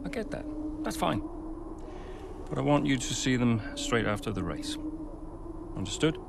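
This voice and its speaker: A man's voice: mid-range voice, expressive, moderate pace, gravelly, background noise. An actor playing a firm, blunt racing team boss questioning his driver in a naturalistic game cutscene. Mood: conciliatory. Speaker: team principal.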